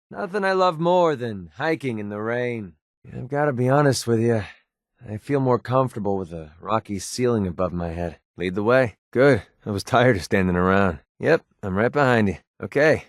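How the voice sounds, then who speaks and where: An actor playing a wry, cocky young mercenary in a video game, with a sardonic, casual, colloquial delivery. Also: male voice, mid-range voice, no echo, clean studio sound, natural voice. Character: wry young male mercenary, cocky, wary, protective father. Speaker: video game companion character. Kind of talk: video game voice acting, casual banter lines.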